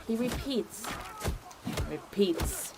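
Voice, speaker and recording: A woman's voice: a little high, animated, drawn-out words, noisy background. A woman reading scripted narration for a dramatic audio story.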